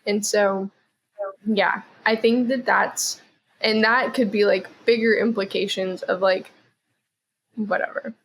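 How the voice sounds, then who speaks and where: A woman's voice: mid-range voice, fairly even intonation, slow pace, pauses often, a little hiss or hum, low-quality recording. A woman chatting casually as a podcast guest, rambling with lots of "like" and "um". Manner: rambling, heavy use of "like" and "um". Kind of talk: casual chat.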